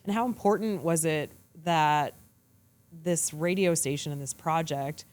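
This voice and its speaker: Woman co-host, low pitch, measured interview questions. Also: darkish tone. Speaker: podcast co-host. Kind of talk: casual interview chat.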